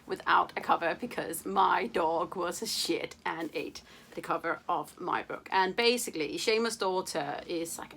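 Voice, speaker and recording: A woman's voice: on the low side, fairly bright tone, a little hiss or hum. A young woman from Denmark, chatting to camera about books in a playful, casual way, with many fillers and casual swearing. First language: Danish. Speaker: book vlogger.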